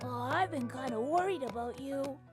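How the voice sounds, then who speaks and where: A woman's voice: sits high, normal speed, natural voice. A woman voicing a small, sweet, caring young male dragon in an amateur fan fiction audio drama. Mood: fond relief. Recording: music behind the voice.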